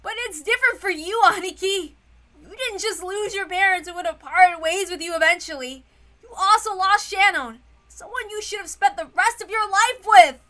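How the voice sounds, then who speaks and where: Here is an anguished teenage boy as played by a woman, hot-blooded and emphatic. The voice sits high and bright, pleading with real force in a visual novel read-aloud. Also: moderate pace. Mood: impassioned grief.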